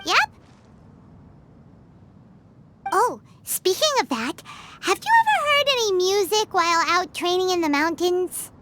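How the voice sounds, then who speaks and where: A woman voicing a tiny, excitable, childlike fairy companion in a video game, squeaky and whiny, with exaggerated delivery. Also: normal speed, a little hiss or hum, heavily acted. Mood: eager, cheerful. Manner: talks about self in third person, exaggerated. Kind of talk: video game character acting.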